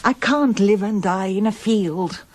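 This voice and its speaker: An actress playing a candid, reflective middle-aged wife in a radio drama, speaking articulately and earnestly. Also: female voice, mid-range voice, measured pace, lightly acted. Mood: resolute. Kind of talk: radio drama acting, both dialogue and first-person narration.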